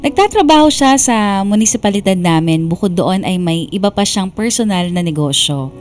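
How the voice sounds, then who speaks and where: A woman playing a burned-out young woman who tells her life story in measured, confiding radio drama narration. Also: on the low side, a little mellow, close to an everyday voice. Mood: weary, reflective. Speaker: narrator reading a letter sender's story. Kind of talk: scripted first-person radio drama narration. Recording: background music under the narration.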